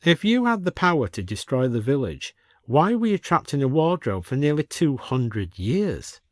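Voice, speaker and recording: A man's voice: mid-range voice, big pitch swings, normal speed, no echo, studio quality, natural voice. Man acting a puzzled, indignant British antiques dealer. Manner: questioning, exclaiming. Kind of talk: audiobook character voice.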